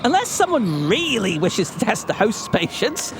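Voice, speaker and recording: A man's voice: sits high, very animated, normal speed, gravelly, noisy background. A man hosting a gaming marathon, reading donation messages aloud with exaggerated emphasis.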